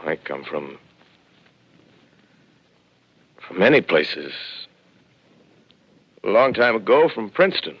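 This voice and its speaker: Lone survivor astronomer, hushed, brooding, lowish voice, 1930s radio drama, dull lo-fi broadcast. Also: male voice, big pitch swings, a little slow, slightly rough, heavily acted. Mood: wary.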